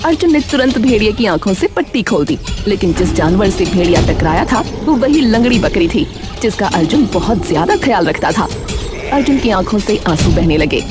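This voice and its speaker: A woman narrates a cartoon story in a scripted storytelling style. Her pitch is average for a woman and moves in a lively way, with music clearly underneath. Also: a little fast.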